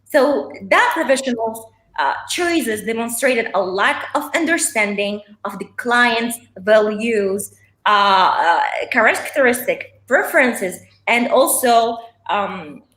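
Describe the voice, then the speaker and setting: A woman's voice: a little high, lively intonation, takes it slowly, fairly bright tone, roomy sound. A woman from Morocco speaking English as a second language, talking on a panel with frequent fillers and restarts. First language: not English. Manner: hesitant, many fillers and restarts. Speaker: panelist, behavior professional. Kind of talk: panel discussion.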